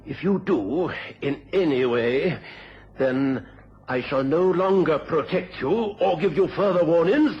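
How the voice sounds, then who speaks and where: An actor playing a commanding, titled country gentleman with an upper-class English accent in an old radio drama. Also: fairly high pitch, unhurried, husky, heavily acted. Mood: stern, menacing. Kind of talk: mid-century British radio drama acting. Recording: dull, faintly noisy old radio recording.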